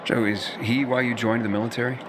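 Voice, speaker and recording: A man's voice: mid-range voice, little pitch movement, fast, background noise, natural voice. An actor playing a young male drifter in a game cutscene, hesitant and reserved, asking careful questions. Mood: curious. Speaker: newcomer to a military faction. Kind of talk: video game cutscene acting.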